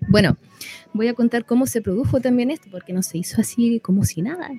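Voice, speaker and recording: A woman's voice: fairly high pitch, fast, dark tone. A woman with a Chilean accent speaking as a musician guest in a casual interview, informal and a little hesitant in her phrasing.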